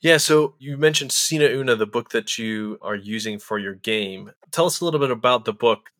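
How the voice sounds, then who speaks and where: A man's voice: mid-range voice, normal speed, fairly bright tone, dry recording, clean studio sound. A man hosting a casual interview, asking friendly, chatty questions. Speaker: podcast host.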